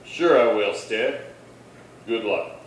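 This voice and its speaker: A steady radio-Western marshal played by a man speaks with measured gravity. He sounds far from the mic in a strongly echoing hall, reasoning firmly and persuasively. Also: fairly low pitch. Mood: easy, kindly. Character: steady, grave Old West lawman marshal. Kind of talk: Western radio drama acting. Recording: echoey hall, distant mic, faint noise.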